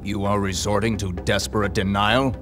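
Man acting stern, low-voiced samurai official. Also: little pitch movement, moderate pace, lightly acted. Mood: cold accusation. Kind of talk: English game dub acting. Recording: music behind dialogue.

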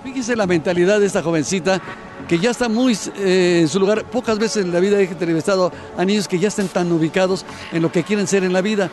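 Excitable mexican tv host guy, high voice, interviewing outside, music blaring under it. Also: quick pace. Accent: Mexican Spanish. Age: middle-aged. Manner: enthusiastic, exclamatory. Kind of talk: TV sports interview. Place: outdoors by a fountain. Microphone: handheld mic.

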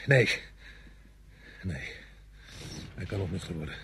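An actor playing an exasperated soldier on patrol in a radio drama, speaking urgently and bluntly. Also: male voice, low-pitched, expressive, slow, a bit bright, slight background noise, exaggerated voice. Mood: wary. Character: tense, exasperated male soldier on night patrol.

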